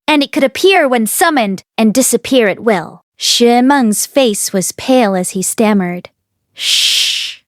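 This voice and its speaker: A woman narrating a novel aloud as an expressive read-along host. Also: on the high side, no room sound, clean studio sound.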